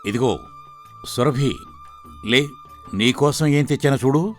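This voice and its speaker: A man playing a long-suffering, gentle and weary husband in an animated folktale, pleading and mournful. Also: mid-range voice, slow pace, soft background music. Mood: coaxing.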